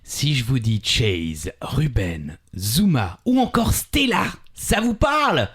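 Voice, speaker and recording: A man's voice: mid-range voice, very animated, slow pace, a bit bright, husky. A man co-hosting a quiz podcast with casual, playful, joking banter.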